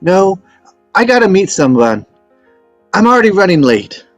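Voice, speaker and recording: Man playing an earnest young adventurer, animated. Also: high voice, moderate pace, no echo, soft background music. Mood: puzzled, earnest. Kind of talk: screenplay table read.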